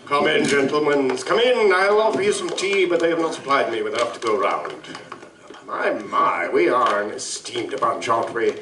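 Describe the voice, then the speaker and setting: A man's voice: mid-range voice, moderate pace, background noise, lightly acted. A man playing a pompous, genial English big-game vampire hunter with grandiloquent, genteel speech in a live audio drama. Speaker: stage actor. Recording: roomy live stage, distant mic.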